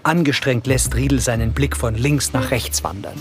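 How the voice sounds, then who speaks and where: A male narrator describes scenes for a German TV drama soundtrack in a measured, neutral way. His voice sits at a medium pitch, with music clearly playing behind him. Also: steady tone.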